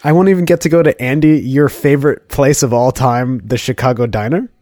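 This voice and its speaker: A man co-hosting a casual podcast, stuffy with a cold and slightly gravelly, with a playful, teasing manner. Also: medium pitch, fairly quick, a little mellow, no echo, clean studio sound. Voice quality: slightly gravelly, some vocal fry. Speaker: podcast co-host. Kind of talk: casual co-hosted podcast chat.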